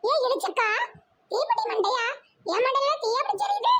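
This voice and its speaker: Woman doing a shrill, huffy cartoon neighbour woman. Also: very animated, brisk, fairly bright tone, heavily acted. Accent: southern Tamil Nadu. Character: touchy, indignant village woman neighbour. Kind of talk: amateur cartoon comedy voice acting.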